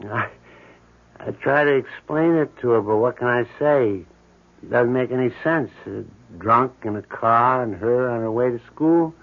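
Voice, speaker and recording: A man's voice: medium pitch, a little slow, close to an everyday voice. An actor playing an odd, morbid building handyman, matter-of-fact and brooding, in a 1970s radio drama. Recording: thin, tinny old radio broadcast.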